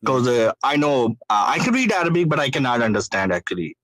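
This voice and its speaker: A man's voice: mid-range voice, very animated, quick pace, fairly bright tone, no room sound, poor audio. Hesitant Urdu-speaking man on a call. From Pakistan. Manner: hesitant, many fillers. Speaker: caller. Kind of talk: casual chat.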